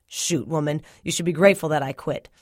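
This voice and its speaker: A woman voicing a gruff, defensive, hard-drinking rural father with a blunt, folksy manner in an audiobook. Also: low voice, brisk, darkish tone, dry recording, clean studio sound. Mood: defensive, dismissive. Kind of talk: audiobook character dialogue.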